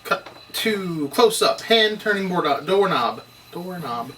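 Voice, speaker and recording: A man's voice: sits high, expressive, takes it slowly, sharp tone. A man reading screenplay stage directions at a table read in a clipped, matter-of-fact way. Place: indoor table read, recorded on camera. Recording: distant mic, faint room noise.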